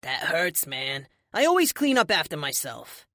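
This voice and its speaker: A woman's voice: deep voice, sing-song, unhurried, pushed and bright, dry recording, studio quality, exaggerated voice. A woman voicing a brash, good-humored male speedster with a Boston accent, casual and joking, in an audiobook. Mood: mock hurt.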